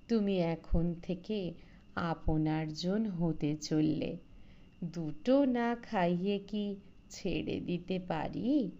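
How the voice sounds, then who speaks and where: A woman narrator plays an older village man, hospitable and insistent in a storybook reading. The voice drops lower and stays mellow, with coaxing, familiar phrasing. The recording is a touch dull. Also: gravelly, exaggerated voice.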